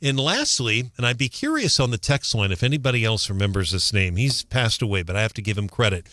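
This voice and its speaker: A middle-aged man from St. Louis with a lowish voice talks casually on a morning radio show. He rambles and restarts his sentences, recorded close and dry in a studio. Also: big pitch swings, a little fast, pushed and bright, no echo. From St. Louis, Missouri. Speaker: radio news reporter.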